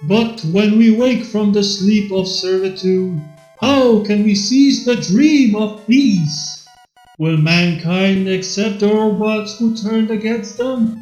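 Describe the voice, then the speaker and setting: A man's voice: sits high, slow, darkish tone, reverberant, muffled recording, soft background music. A man voicing a theatrical robot who declaims a grandiose, anguished Shakespearean soliloquy in a fan fiction reading. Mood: grandiose, questioning. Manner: grandiose, declamatory stage delivery.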